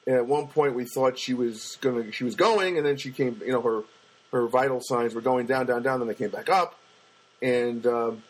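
A man with a booming voice telling a personal story in a casual monologue, halting often with fillers and restarts. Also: poor audio. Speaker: storyteller recounting a personal loss.

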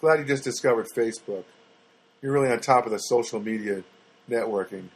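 A man's voice: mid-range voice, a little fast, husky. A man telling stories in a casual, rambling and sarcastic monologue, with many fillers and restarts.

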